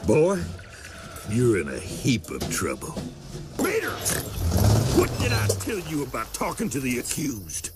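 An actor voicing a stern old police car sheriff in an animated film, with loud music underneath. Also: male voice, mid-range voice, a little slow, pauses often, voice pushed down.

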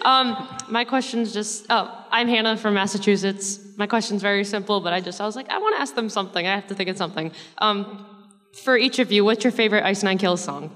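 A woman's voice: mid-range voice, a little fast, a bit bright. A nervous, giggly woman asks the panel a question from the audience. Her voice sits in a long hall reverb. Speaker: audience member asking a question. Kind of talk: casual question.

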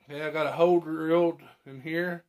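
Folksy man with a gravelly voice. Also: a little high, fairly even intonation, fairly quick. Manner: folksy, muttering to himself as he works. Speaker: DIY host. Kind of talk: casual how-to talk while working. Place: cluttered room indoors at home. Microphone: camera a short distance away. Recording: noisy room with clicking tool sounds.

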